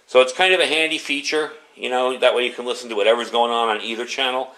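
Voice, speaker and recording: A man's voice: mid-range voice, steady tone, fast, pushed and bright, poor audio. A man giving a casual, unscripted product review in a chatty, conversational way.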